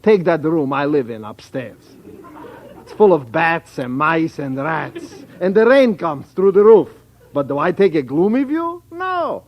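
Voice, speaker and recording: Impish older professor, high-pitched. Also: male voice, big pitch swings, unhurried. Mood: mock-cheerful. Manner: chuckling, playful, skewed grammar. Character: jolly eccentric older professor, impish joker. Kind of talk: old radio sitcom acting. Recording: muffled, noisy old radio recording.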